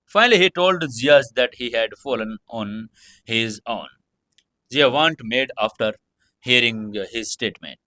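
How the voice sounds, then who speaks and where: A man's voice: medium pitch, animated, measured pace, pushed and bright, no echo. A man narrating a dramatized story from a script, now and then stumbling over his words. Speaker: audiobook narrator.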